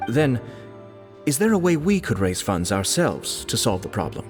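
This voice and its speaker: A man's voice: medium pitch, sing-song, normal speed, lightly acted. An actor playing a composed, polite young nobleman diplomat speaking formally in game dialogue. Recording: reverberant with music behind.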